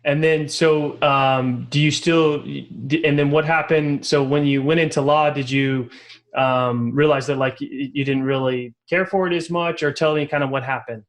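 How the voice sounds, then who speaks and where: A man's voice: fairly high pitch, steady tone, normal speed. A man hosting an interview podcast, asking loose, rambling questions and restarting his sentences. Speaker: podcast host and company founder.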